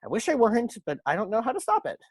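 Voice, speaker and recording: Man playing a high-voiced, anxious young stage actor. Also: wildly swooping pitch, very fast, dry recording, exaggerated voice. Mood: nervous. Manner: nervous, theatrical. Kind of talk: stage-style audio drama acting. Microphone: video call recording.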